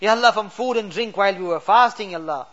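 A man's voice: high-pitched, fast, fairly bright tone. A man leading a fervent late-night supplication as an imam, pleading with constant repeated refrains. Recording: dull lo-fi recording.

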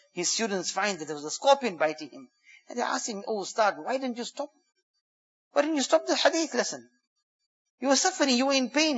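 A man preaching a sermon, telling stories, who swings between loud emphatic phrases and hushed quiet ones and quickly chants Arabic verses. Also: high-pitched, slightly rough, muffled recording. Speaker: Islamic preacher. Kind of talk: sermon storytelling.

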